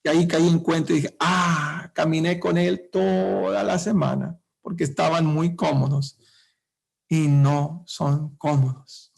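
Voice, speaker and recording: Male preacher, high gravelly voice, impassioned sermon delivery. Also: fairly even intonation, a little mellow. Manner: impassioned, drawn-out emphatic phrasing; speaks directly to the congregation.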